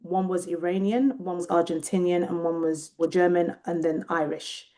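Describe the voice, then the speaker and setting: A British Nigerian woman with a British accent, a researcher talking thoughtfully in an interview and often pausing to find her words. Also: fairly low pitch, fairly even intonation, dark tone. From Nigeria and Britain. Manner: thoughtful, searching for words, many restarts. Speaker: guest researcher. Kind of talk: reflective interview conversation.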